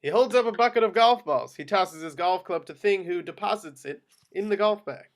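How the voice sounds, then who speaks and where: Male stage-directions narrator, fairly high voice, scripted screenplay read. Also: fairly quick.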